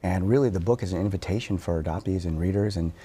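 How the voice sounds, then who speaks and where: A man, a therapist and author, speaking softly and reflectively as a TV interview guest, pausing often with fillers. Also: deep voice, slightly rough. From born in Korea, raised in Wisconsin. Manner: soft-spoken, reflective, halting with fillers.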